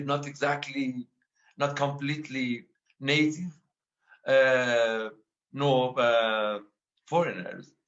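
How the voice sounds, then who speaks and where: Slow, halting Afghan poet with an Iranian accent. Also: male voice, mid-range voice, fairly bright tone, lo-fi sound. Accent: Iranian-accented English. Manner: halting, many filler pauses. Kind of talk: panel talk about his life and poetry.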